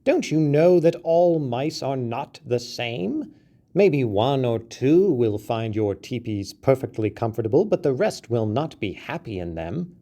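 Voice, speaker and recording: A man voicing an indignant little male mouse who argues in a raised, shrill voice. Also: animated, measured pace, mellow. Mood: astonished. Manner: shrill, pleading, argumentative.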